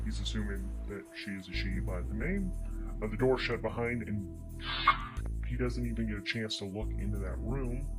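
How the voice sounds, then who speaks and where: Halting male storyteller over prominent music. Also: lowish voice, poor audio. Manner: halting, pausing and searching for words. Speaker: solo podcast host, narrator and game master. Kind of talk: improvised storytelling narration of a tabletop game. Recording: music playing under the voice. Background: prominent instrumental music.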